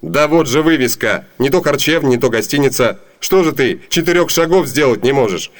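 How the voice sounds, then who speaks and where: Man playing lordly young gentleman, mid-pitched, very lively intonation, brisk, theatrical radio comedy. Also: lightly acted. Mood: impatient. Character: brisk, amused young gentleman traveller, master. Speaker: actor in a radio play.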